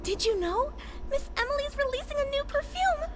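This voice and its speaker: A man voicing a chirpy, gossiping young townswoman in excited falsetto for a video game playthrough. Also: moderate pace, background noise. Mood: excited, gossipy.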